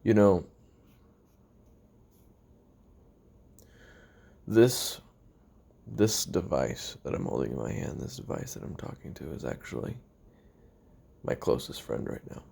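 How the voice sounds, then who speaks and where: A man playing a weary, lonely young retired assassin who makes a halting, brooding confession on tape in an audio roleplay, with a gravelly, husky voice. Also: low-pitched, big pitch swings, warm tone, heavily acted. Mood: weary, bitter. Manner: halting, brooding, trailing off with sighs and pauses.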